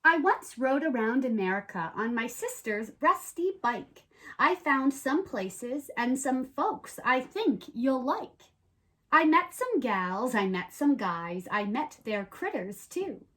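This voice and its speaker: A woman's voice: sits high, very animated, slow, a bit bright. A woman hosting a children's show, reading a picture book aloud expressively and explaining things simply. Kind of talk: expressive read-aloud storytelling with playful chat.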